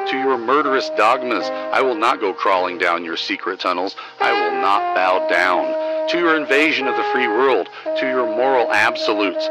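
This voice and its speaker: A man hosting, reading scripted material in a declamatory, deliberate way. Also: lowish voice, low-quality recording. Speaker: host. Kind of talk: scripted news narration and poem reading.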